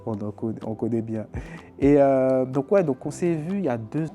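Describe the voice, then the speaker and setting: A man's voice: mid-range voice, animated, moderate pace, dark tone, faint music behind. A man hosting a podcast, chatting informally and often repeating his words and phrases. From France, Paris suburbs. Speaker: podcast host. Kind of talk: casual interview chat.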